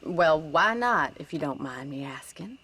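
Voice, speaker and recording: A woman playing a spirited, plainspoken young frontier ranch woman, wry and gently teasing, in a game cutscene. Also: low voice, expressive, measured pace, slight background noise, exaggerated voice. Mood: friendly, curious. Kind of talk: video game cutscene acting.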